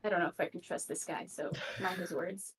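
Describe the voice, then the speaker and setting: A woman's voice: lowish voice, brisk, slight background noise. A woman playing in a tabletop roleplay, bantering casually in character.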